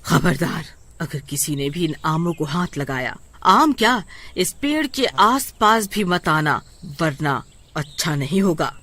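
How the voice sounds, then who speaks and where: Woman as a possessive village aunt, dramatic, lowish voice, very animated, cartoon story. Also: takes it slowly, heavily acted. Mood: angry warning. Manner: dramatic, scolding or doting. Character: lonely, possessive village aunt fond of her mangoes.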